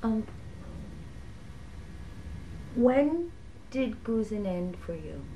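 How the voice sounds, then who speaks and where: A woman's voice: fairly high pitch, very animated, slow, mellow, slight background noise, rough recording. A woman interviewer asking brief, direct questions.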